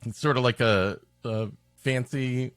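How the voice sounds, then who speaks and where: A man's voice: mid-range voice, steady tone, unhurried, smooth, no echo, clean studio sound, faint music behind. A man co-hosting a comedy podcast, riffing in a sarcastic, mock-exasperated way. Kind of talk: joking riffing on a story being read.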